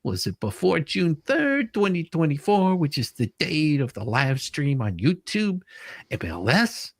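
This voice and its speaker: Someone acting out a testy male lawyer grilling a witness, pitch jumping all over, super dry sound. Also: sing-song, a little slow, no room sound. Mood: pushy, insistent. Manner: insistent, argumentative. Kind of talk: dramatic reading of a deposition transcript.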